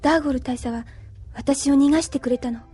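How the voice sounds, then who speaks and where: Woman acting a soft, high-voiced wistful heroine. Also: steady tone, fast talker, close to an everyday voice. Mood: wistful longing. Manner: soft, tender, apologetic. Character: gentle, wistful young woman longing for beloved. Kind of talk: Japanese anime audio drama acting. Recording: faint music behind drama voice.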